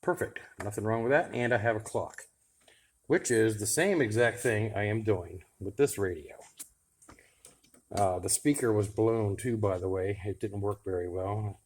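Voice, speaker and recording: A man with a somewhat low voice talks in an easygoing, unscripted way. He thinks aloud as he works, like a hobbyist showing his bench project to viewers. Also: normal speed, slight background noise. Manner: relaxed and chatty, thinking aloud while tinkering. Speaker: radio hobbyist vlogger. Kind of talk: casual how-to vlog.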